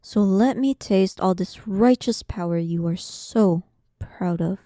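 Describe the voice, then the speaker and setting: Dark, slow, taunting demon queen played by a woman. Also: medium pitch, dry recording, clean studio sound, close to an everyday voice. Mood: menacing. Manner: slow, teasing, condescending, breathy half-whispers. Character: powerful female demon queen, dominant, sarcastic, playfully taunting. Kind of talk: scripted audio roleplay addressed to the listener.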